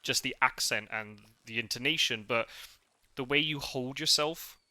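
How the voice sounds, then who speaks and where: A British man who grew up in the north of Scotland, talking casually and softly with many restarts. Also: mid-range voice, moderate pace, no room sound. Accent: British English with Scottish upbringing. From England. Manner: soft-spoken, rambling with many restarts. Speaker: podcast host. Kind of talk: casual conversation.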